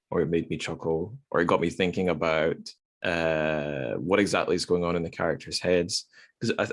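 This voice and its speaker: A man's voice: deep voice, no echo. A man hosting a literary podcast, talking casually with an informal, self-deprecating manner. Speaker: podcast host.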